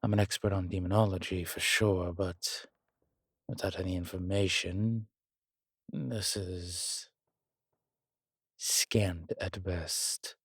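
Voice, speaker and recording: A man playing a long-lived demonology professor in an audio roleplay, calm, protective and gently wry, speaking in a measured, deliberate way. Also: deep voice, husky, no room sound, clean studio sound, exaggerated voice. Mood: grave, explaining. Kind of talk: slow intimate audio roleplay acting.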